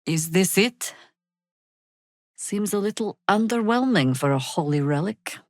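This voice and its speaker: An actress playing a seasoned older female druid warrior in a fantasy video game, measured, wry and commanding. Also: mid-range voice, expressive, dry recording, clean studio sound, natural voice. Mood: dry, wry.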